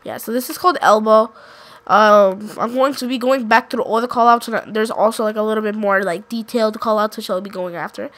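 A woman's voice: mid-range voice, fairly quick, a bit bright. Congested woman giving rambling game callouts. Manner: rambling, self-correcting, unsure. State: congested from a cold. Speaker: gaming YouTuber. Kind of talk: casual gameplay commentary.

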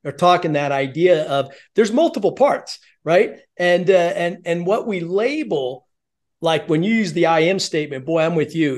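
Enthusiastic man hosting over video call. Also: fairly high pitch. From born in Germany. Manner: enthusiastic, affirming, rambling questions. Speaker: podcast host. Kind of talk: casual interview chat. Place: home office with shelves of sports helmets.

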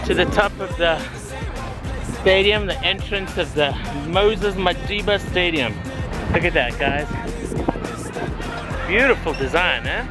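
A man's voice: high-pitched, measured pace, long pauses. A man in his thirties filming a family vlog outdoors at arm's length, teasing and joking. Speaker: family vlogger, father. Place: outdoors on a pedal cart by a stadium. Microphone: handheld camera at arm's length. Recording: loud music and crowd behind.

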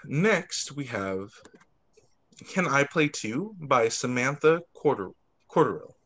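Animated man reading aloud to children. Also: medium pitch. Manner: expressive, drawn-out words, child-friendly asides. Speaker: teacher reading picture books to pupils. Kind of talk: animated read-aloud story time for young children. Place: indoors on a video call.

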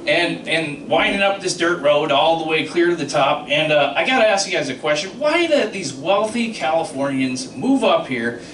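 A man's voice: fairly high pitch, lively intonation, moderate pace, few pauses, a bit bright, slight background noise. A middle-aged man doing a stand-up comedy set about trucking, telling stories with sound effects and asides to the crowd. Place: small comedy club stage. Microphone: handheld mic, filmed from the audience. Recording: echoey club from far off. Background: audience laughter.

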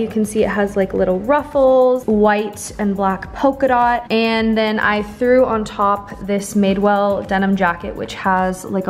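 A young woman in her twenties chatting casually to her camera, bubbly and full of "like", filming at arm's length in her apartment. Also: fairly high pitch. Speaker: vlogger. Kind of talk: casual vlog chat. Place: bright home apartment, later inside a car. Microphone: handheld camera at arm's length.

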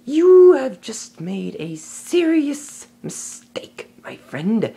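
An actor voicing a sly, hungry python in an animated film, breathy and sibilant, with silky mock politeness. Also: male voice, extremely high pitch, slow pace, full character voice. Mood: menacing. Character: sly, menacing male python, hungry and hypnotic.

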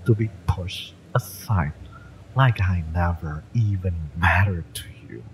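A man's voice: deep voice, slow pace, a little hiss or hum, low-quality recording. A man playing a fiery, bitter young mercenary in an audio roleplay, sardonic and taunting, with sighs and swearing. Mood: hurt, angry. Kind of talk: romance audio roleplay acting, addressed to the listener.

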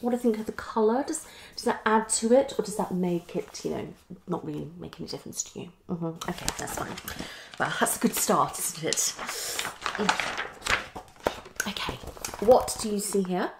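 A woman's voice: lowish voice, expressive, moderate pace, gravelly, very noisy. A woman in her thirties with a British accent acts out a psychologist in an ASMR roleplay, asking questions theatrically with murmured acknowledgements. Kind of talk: ASMR roleplay, one-sided consultation. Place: small living room at home, indoors.

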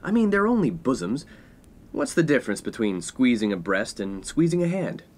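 A man's voice: medium pitch, big pitch swings, normal speed. An actor playing a smug, spoiled, lecherous 1950s college man in a comedic radio play, talking in a pompous, mock-philosophical way. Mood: scheming, musing. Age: young adult.

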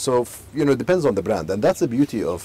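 A man's voice: mid-range voice, breathy edge. A man in his forties from Saudi Arabia, a company chief telling stories in a casual interview, full of fillers and restarts, recorded outdoors on a lapel mic. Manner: fast, animated storytelling full of fillers and restarts. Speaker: company CEO, interview guest. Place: outdoor garden beside a pool.

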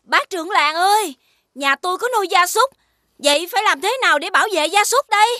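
Shrill, worried villager. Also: female voice, expressive, fairly quick, bright, forceful tone, dry recording, clean studio sound, exaggerated voice. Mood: anxious. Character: worried villager who keeps livestock. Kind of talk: animated fairy tale dubbing.

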